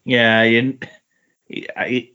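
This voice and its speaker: A man chatting casually about comics on a podcast, halting and repeating words as he thinks. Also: mid-range voice, steady tone, bright, forceful tone, smooth. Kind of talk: casual comic book discussion. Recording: dull lo-fi voice recording.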